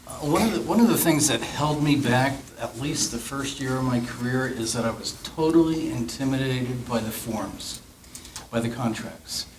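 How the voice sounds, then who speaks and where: A middle-aged man giving earnest, practical career advice as a panelist, clearing his throat now and then. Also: medium pitch, moderate pace. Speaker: real estate panelist. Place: meeting room. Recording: echoey room, faint noise.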